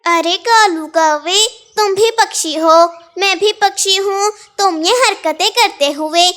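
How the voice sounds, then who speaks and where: A woman's voice: extremely high pitch, slow pace, a bit bright, heavily acted. A woman voicing a sensible little female bird in a children's cartoon, speaking in an admonishing way. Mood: reproachful. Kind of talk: Hindi kids' cartoon dubbing.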